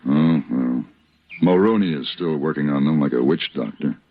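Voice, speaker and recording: An actor playing a tough, principled Western hero in 1950s radio drama style, firm and deliberate, commanding and protective. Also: male voice, extremely low pitch. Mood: steady. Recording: muffled, noisy old radio recording.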